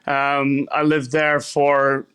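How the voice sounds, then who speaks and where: A man's voice: mid-range voice, completely flat delivery, very slow, fairly bright tone, clear, resonant tone. A man with a Northern Irish accent talking casually as an interview guest, often rephrasing himself as he goes. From Belfast, Northern Ireland. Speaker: chef, podcast guest.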